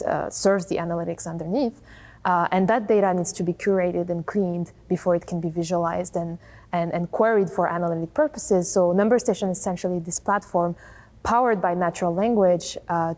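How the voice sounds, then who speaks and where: Lower-pitched woman explaining as interview guest. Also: a little mellow. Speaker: tech company co-founder and chief scientist, interview guest.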